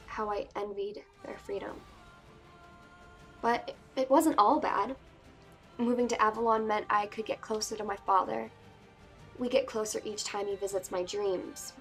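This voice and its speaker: Woman as teenage girl narrator, fantasy audiobook, sardonic yet wistful, medium pitch, music underneath. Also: close to an everyday voice. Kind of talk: first-person dramatic book reading.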